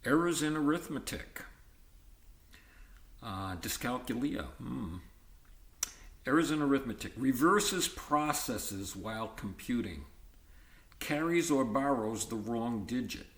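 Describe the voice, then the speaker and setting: A man reading an educational text aloud in a halting, list-like way, breaking off for informal asides. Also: mid-range voice, expressive, fairly bright tone, echoey room. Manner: halting list-like delivery with ad-libbed comments. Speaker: reader of an educational book.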